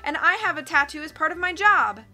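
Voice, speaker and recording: A woman's voice: high-pitched, sing-song, fast, bright, forceful tone, faint music behind, full character voice. A woman voicing a frightened, wrongly accused man who cries out and pleads frantically, in a storybook reading. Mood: frantic. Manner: frantic, crying out.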